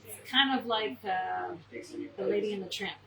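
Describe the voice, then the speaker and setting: A woman's voice: mid-range voice, normal speed, gravelly. An American woman co-founder of an animal welfare charity, answering interview questions earnestly with many ums and restarts. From United States. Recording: echoey, distant mic with loud crowd noise.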